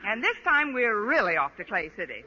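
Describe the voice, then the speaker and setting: An actress playing a caustic, wisecracking high school English teacher with dry, sarcastic delivery in a 1940s radio sitcom. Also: female voice, big pitch swings, fairly quick, over loud music. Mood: wry, sarcastic. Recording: old radio broadcast, dull, very noisy.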